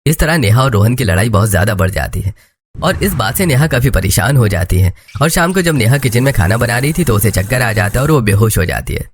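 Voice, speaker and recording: A man's voice: fairly low pitch, a little hiss or hum. A man reading scripted narration for a moral story, with music behind him.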